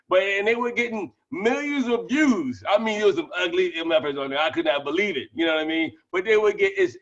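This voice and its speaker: A man with a small lisp and a stutter, talking excitedly and fast as he pitches a business opportunity to people on a group call. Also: highs cut off. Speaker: presenter of a network-marketing training call.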